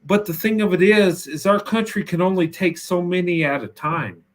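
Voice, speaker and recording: A man with a notably high speaking voice talks politics in a loose, conversational way. He is emphatic and keeps stuttering and restarting his sentences as he pushes his point. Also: normal speed. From United States. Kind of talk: casual political discussion.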